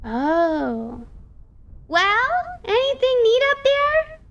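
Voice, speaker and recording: A woman's voice: very high voice, huge pitch swings, drawn-out words, creaky, a bit bright, pitched above the performer's own voice, exaggerated voice. A woman voicing an eager, childlike young female adventurer in an audio drama, with breezy, naive, casual phrasing. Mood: eager, loud.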